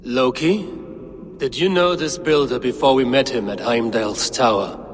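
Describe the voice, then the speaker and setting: An actor playing a stern, commanding Norse high god in a video game, speaking in a measured, formal, authoritative way. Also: male voice, background noise, lightly acted. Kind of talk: video game cutscene acting. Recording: long reverb, music behind.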